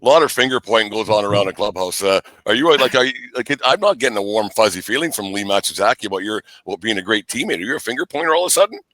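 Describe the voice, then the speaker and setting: A man hosting a sports podcast in casual chat. His voice is bright and forceful, recorded dry and close, and he talks quickly. He teases and jokes, often rambling through his questions. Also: on the low side, lively intonation, no echo. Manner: joking, teasing, rambling.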